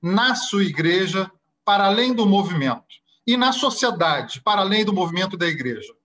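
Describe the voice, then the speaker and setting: A man interviewing on a podcast, asking questions with hesitant pauses. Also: sits high, animated, fairly bright tone.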